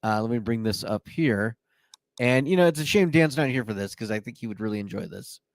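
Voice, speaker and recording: A man's voice: mid-range voice, expressive, a little fast, no room sound. Playful male podcast host, dry sound. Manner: playful, jokey, many fillers. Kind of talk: casual chat.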